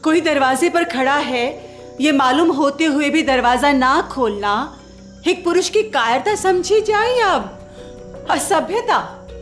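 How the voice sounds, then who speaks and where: High-voiced, proud stranded traveller. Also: female voice, expressive, takes it slowly, soft background music. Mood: indignant. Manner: formal, sarcastic, argumentative. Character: proud, sharp-tongued woman traveller stranded at night. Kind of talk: radio play acting.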